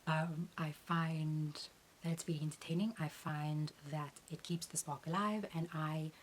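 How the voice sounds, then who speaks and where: A young adult woman, a beauty content creator, answering Q&A questions about her channel in an earnest, measured way. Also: deep voice, steady tone, slight background noise. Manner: earnest, measured, uses "um" between points.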